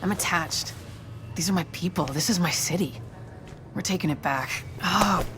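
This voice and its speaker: A low-voiced, tough female agent played by an actress speaks frankly and with grit, as a wounded fighter devoted to her city. It is game cutscene dialogue with clear ambient noise behind it. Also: normal speed, close to an everyday voice. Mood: resolute. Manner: frank, determined, profane. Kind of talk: video game cutscene voice acting.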